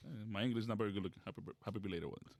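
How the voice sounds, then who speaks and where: A man's voice: fairly low pitch, very fast, a little mellow, dry recording. A man co-hosting a podcast in casual, joking chat. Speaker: podcast co-host.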